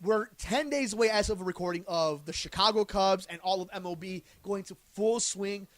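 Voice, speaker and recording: A man's voice: high voice, normal speed, a bit bright, no room sound. A man hosting a podcast in a casual, bantering style. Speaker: sports and entertainment podcast host.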